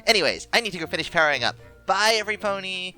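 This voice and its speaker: Fast, piercingly bright manic party pony woman's act. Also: mid-range voice, animated, faint music behind. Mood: boastful. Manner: breezy, matter-of-fact about absurd threats. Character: manic, delusional, cheerful cartoon party pony. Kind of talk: parody cartoon dub.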